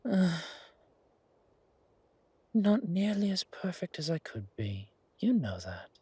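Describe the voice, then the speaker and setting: A man voicing a young predatory creature, a vampire's devoted son, teasing and polite in a breathy half-whisper for a horror audio drama. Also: sits high, sing-song, slow pace, mellow, no room sound, voice pushed up, full character voice. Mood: bashful.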